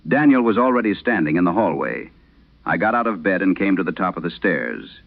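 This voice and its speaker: A man narrating a scripted horror tale in vintage radio style, solemn and measured, with dramatic pauses. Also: low-pitched, big pitch swings, gravelly. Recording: dull, band-limited vintage radio sound.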